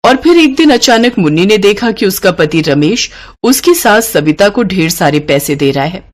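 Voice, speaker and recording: A woman tells a moral story as its narrator. Her pitch is medium. The delivery is scripted storytelling. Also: very animated, moderate pace.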